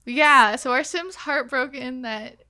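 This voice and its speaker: A woman's voice: high voice, takes it slowly, sharp tone. A young woman telling stories casually as a podcast guest, in a giggly manner. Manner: giggly, laughs through her words. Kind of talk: casual chat, storytelling.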